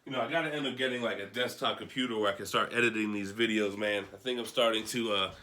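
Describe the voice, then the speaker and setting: A man with a fairly low, very bright and forceful voice talks casually through a review. He rambles in an offhand, unscripted way, with the loose phrasing of a hobby collector chatting to viewers. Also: steady tone, fast talker. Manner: offhand, rambling, mildly profane. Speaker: comic book collector reviewing a book.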